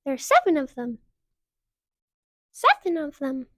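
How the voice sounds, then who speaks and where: A woman's voice: high voice, wildly swooping pitch, measured pace, a bit bright, no room sound, clean studio sound, pitched above the performer's own voice, exaggerated voice. A young woman putting on the voice of a sweet fairytale princess, sing-song and overly simple.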